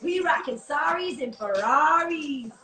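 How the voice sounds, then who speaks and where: High-voiced woman rapping in an echoey room. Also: lively intonation, a little slow, sharp tone, soft background music. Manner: rhythmic rap flow with Hindi lines and vocal percussion. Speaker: recording artist. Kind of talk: singing and rapping performance, then casual chat. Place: home studio.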